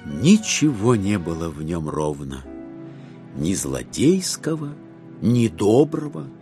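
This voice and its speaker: A man narrating a literary audio drama in an expressive, theatrical and wry manner. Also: sits low, slow, faint music behind.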